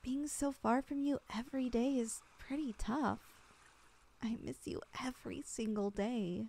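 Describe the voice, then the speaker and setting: Young woman, slightly higher voice, ASMR girlfriend roleplay; soft, affectionate, lightly teasing. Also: steady tone, unhurried, gravelly, a little hiss or hum. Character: loving long-distance girlfriend, young woman, teasing and tender.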